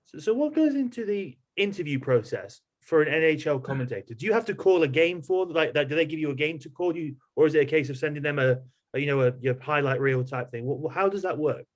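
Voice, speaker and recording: Higher-voiced man hosting an interview. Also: animated, quick pace, few pauses. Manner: conversational, rephrases questions as he goes. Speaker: podcast host, interviewer. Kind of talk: casual interview questioning.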